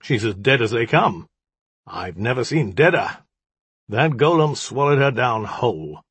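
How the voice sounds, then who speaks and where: A man's voice: mid-range voice, big pitch swings, unhurried, fairly bright tone, breathy edge, dry recording, full character voice. A man voicing a sardonic, defiant jinni in the form of a lioness, with sarcastic, colloquial dialogue in an audiobook. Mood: glib. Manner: sarcastic, colloquial, self-justifying.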